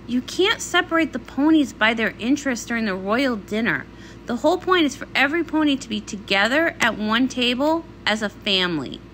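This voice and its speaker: A woman's voice: on the high side, lively intonation, brisk, fairly bright tone, slight background noise, low-quality recording. A woman voicing a young, sporty female pony princess, blunt, exasperated and sarcastic, in a comic read-along. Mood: scolding.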